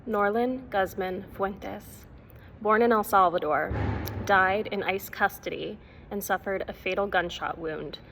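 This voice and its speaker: A woman's voice: lowish voice, fairly bright tone. A woman giving a formal scripted memorial reading. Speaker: reader in an online remembrance video. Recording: faint ambient background noise.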